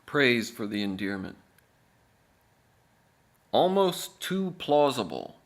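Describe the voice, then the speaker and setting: Guy reading his own writing out loud, really slow and gravelly, kinda deadpan. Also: mid-range voice. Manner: measured, halting with deliberate pauses. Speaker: author reading own book.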